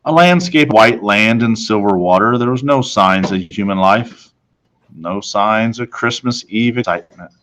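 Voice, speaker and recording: Man, lowish pitch, children's storybook narration, dramatic read-aloud delivery, deliberate pauses. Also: fairly bright tone. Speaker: storybook reader.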